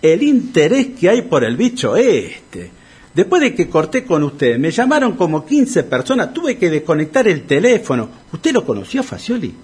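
A man's voice: on the high side, wildly swooping pitch, normal speed, a little mellow, husky, exaggerated voice. An actor with a Rioplatense Argentine accent playing a hapless, anxious, sentimental man who stammers and rambles in a comedic radio play. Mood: eager, courteous. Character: Tito, hapless debt-ridden man, anxious, sentimental and fussy.

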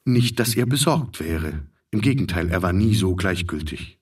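A man's voice: low-pitched, animated, normal speed, breathy edge, distant mic. A man narrating a literary audio drama from a script.

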